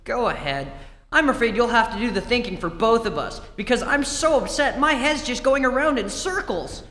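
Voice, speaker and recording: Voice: mid-range voice, expressive, normal speed, fairly bright tone, slight background noise, close to an everyday voice. An actor playing an eager, hot-headed boy sidekick in an old-time radio serial, exclamatory and impatient, on a theatre stage. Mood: anxious. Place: theatre stage in a live performance. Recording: very echoey hall.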